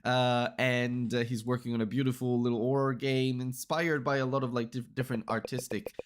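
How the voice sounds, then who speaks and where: A man's voice: medium pitch, level tone, a little fast, slight background noise. A sleepy male podcast host with a nasal voice from a slight cold, rambling through an introduction with many fillers.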